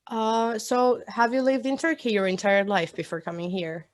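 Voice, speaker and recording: A woman's voice: a little high, fairly even intonation, normal speed, a little hiss or hum. A woman conducting a casual interview, asking open questions and prompting with short murmurs of agreement. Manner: friendly, prompting with short backchannels.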